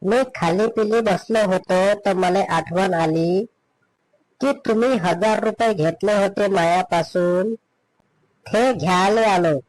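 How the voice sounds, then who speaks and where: A man's voice: sits high, slow, no room sound, low-quality recording. A man playing a shameless, touchy, meddling neighbour in a comedy cartoon, with a Vidarbha Marathi accent. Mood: pestering. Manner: meddling, pestering.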